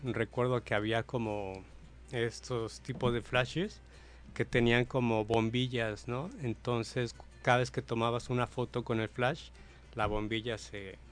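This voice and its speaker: A man's voice: medium pitch, flat delivery, unhurried, soft background music. A man in his forties with a Mexican accent, answering as a guest, thoughtful and hesitant. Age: 40s. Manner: thoughtful, hesitant, soft-spoken. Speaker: guest photographer. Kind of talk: casual interview answers.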